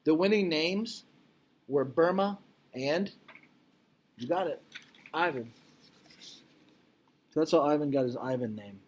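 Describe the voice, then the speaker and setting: A man reading a picture book aloud to children like a teacher, expressive and deliberate, with informal explanatory asides. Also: fairly high pitch.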